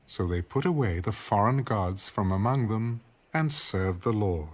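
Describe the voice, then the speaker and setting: A man reading scripted audio Bible narration in a measured, solemn manner. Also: fairly low pitch, lively intonation. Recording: music under the voice.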